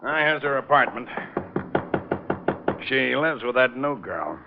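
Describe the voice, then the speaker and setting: Gravelly grumpy police lieutenant. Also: male voice, mid-range voice, lively intonation, unhurried. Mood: grumbling. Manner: grumbling, exasperated. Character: grumpy, weary male police lieutenant, long-suffering straight man. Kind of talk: 1940s radio drama acting. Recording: muffled, noisy old radio recording.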